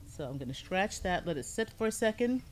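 A middle-aged woman with a low voice teaching a hands-on pottery lesson in a studio, explaining each step calmly as she works. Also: fairly quick, faint music behind. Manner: calm, explains step by step while working with hands. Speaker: ceramics teacher.

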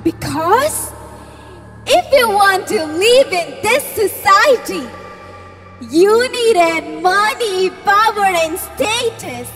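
A woman's voice: very high voice, lively intonation, takes it slowly, fairly bright tone, heavily acted. A woman voicing a sinister inner voice who tempts and taunts. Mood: coaxing. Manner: coaxing, taunting. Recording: long reverb with music.

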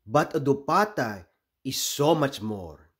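Animated, slow-paced man reading a picture book. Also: medium pitch. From Philippines. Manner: deliberate pauses between short phrases. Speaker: children's picture book read-aloud host. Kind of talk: expressive storybook read-aloud.

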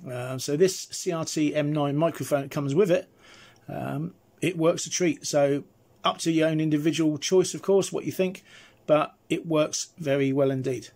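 A middle-aged man with a slightly high-pitched voice presents a casual hands-on review. He is chatty and explains each feature as he goes, like someone showing his gear at home. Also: steady tone, normal speed, a little mellow.

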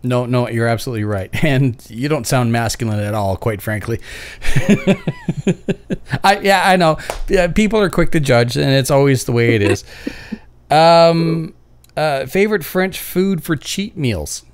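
A man's voice: mid-range voice, expressive, measured pace, smooth, no room sound. A man in his thirties hosting a podcast from a home studio, speaking enthusiastically and effusively on a studio mic. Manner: enthusiastic, effusive, restarts often. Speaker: podcast host. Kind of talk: casual interview. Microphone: studio mic with headphones.

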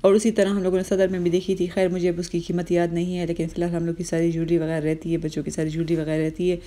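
A woman's voice: fairly low pitch, little pitch movement, rapid-fire, mellow. A woman in her thirties, a vlogger narrating a casual shopping trip through bazaar shops, chatty and explanatory, with many fillers. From Pakistan.

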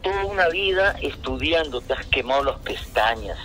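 A man's voice: mid-range voice, animated, moderate pace. A middle-aged man scolding his son over the phone in an indignant, heated way, heard through a phone speaker. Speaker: caller's father on the phone.

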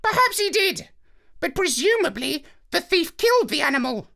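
A man voicing a haughty, vain genius parrot with a squawky, pompous, drawn-out delivery in a children's story. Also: big pitch swings, vocal fry, sharp tone, full character voice. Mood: scornful. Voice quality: shrill, squawky.

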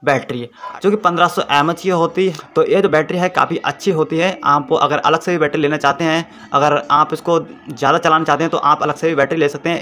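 Bright-voiced young man explaining. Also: on the high side. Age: 20s. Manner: repetitive, explanatory, step-by-step. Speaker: YouTube product reviewer. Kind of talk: casual unboxing demonstration. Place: small plain-walled room indoors.

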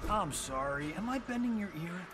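An actor playing a cocky, wisecracking action-star fighter in a video game, flippant and sarcastic. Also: male voice, high voice, animated, moderate pace. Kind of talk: video game cutscene dialogue. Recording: game music and effects behind.

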